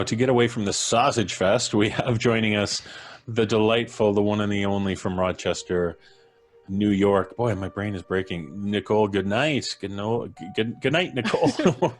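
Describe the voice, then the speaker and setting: Man, North American accent, low voice, jovial live stream host, playful banter, music behind. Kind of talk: casual live stream hosting.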